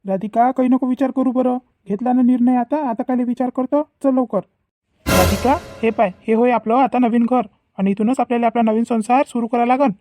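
A man's voice: extremely high pitch, fairly even intonation, fairly quick, warm tone, soft background music. A man playing an earnest, protective young husband in a cartoon family drama, with a Varhadi Marathi accent. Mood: encouraging. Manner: earnest, explanatory.

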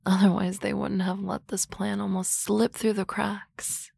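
A woman voicing a sardonic, teasing office-lady boss in an ASMR roleplay, with dry banter and soft whispery asides on a close mic. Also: mid-range voice, level tone, measured pace, creaky, breathy edge, dry recording, exaggerated voice.

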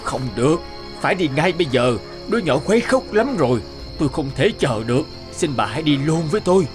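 An actor voicing a stern, secretive husband in a cartoon fairy tale, commanding and insistent. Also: male voice, on the high side, expressive, fairly quick, lightly acted. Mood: urgent, pleading. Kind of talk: animated fairy-tale dubbing. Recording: background music under the voice.

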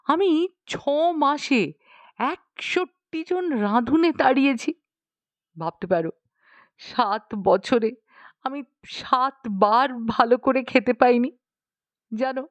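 A woman's voice: sits high, no room sound. Lady doing a mopey greedy circus guy, coaxing and melodramatic, drawn out, storybook style, dry sound. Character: self-pitying, gluttonous circus man, wooing a cook. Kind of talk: storybook audiobook character voice.

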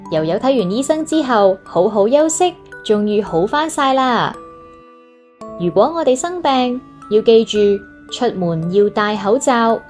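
Female children's storyteller, Hong Kong Cantonese accent, animated and fairly high, music underneath. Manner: expressive, addressing young children. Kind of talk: read-aloud children's story narration.

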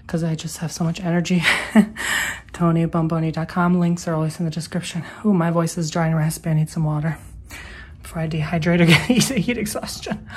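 A man's voice: fairly high pitch, background noise. A man in his thirties chatting casually at home into a handheld phone, rambling and filling his talk with "like". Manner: chatty, rambling, heavy use of "like". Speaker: vlogger. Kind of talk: casual vlog chat. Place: home, indoors. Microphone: handheld phone camera.

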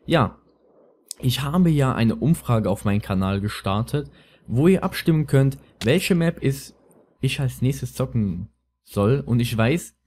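A man's voice: medium pitch, expressive, unhurried, darkish tone, a little hiss or hum. A young man with a lisp giving casual, rambling, slangy gameplay commentary as a let's player. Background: music.

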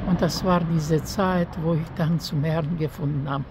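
An older woman giving a spontaneous, prayerful personal testimony of faith, haltingly, searching for words as she goes. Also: deep voice, steady tone, a little mellow. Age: older, over 63. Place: outdoors by an apartment entrance on a street. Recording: outdoor street noise.

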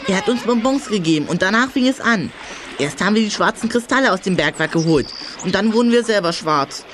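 A woman's voice: medium pitch, lively intonation, fairly quick, fairly bright tone, slight background noise, lightly acted. Woman voicing a fretful little sprite, swooping voice. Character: frightened little sprite creature, panicky and tattling. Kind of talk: children's audio play acting.

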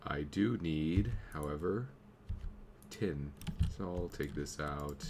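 A young man with a slight cold talks through his gameplay in a very low, unhurried voice, narrating each small step as it happens. The home recording has clear background noise and the click of a keyboard. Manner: easygoing, thinking aloud while playing. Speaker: gameplay commentator. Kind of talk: casual let's play commentary.

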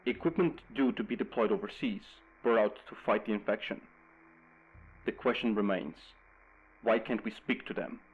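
A grave radio news anchor, played by a man with a fairly low voice. He delivers scripted bulletins with measured urgency. The sound is slightly muffled, like an old radio broadcast. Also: slightly rough. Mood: grave, urgent.

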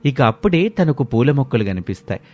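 A man's voice: mid-range voice, very animated, brisk, darkish tone. A man with a Telugu accent narrating a scripted moral story.

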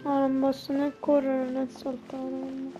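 A woman's voice: high voice, monotone, measured pace, over music. A native Italian woman streaming a game with casual commentary. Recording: dull, lo-fi mic over game audio.

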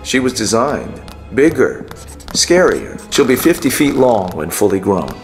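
A man's voice: on the low side, big pitch swings, a little slow, faint music behind. A man playing a boastful, dramatic scientist in video game cutscenes. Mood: proud.

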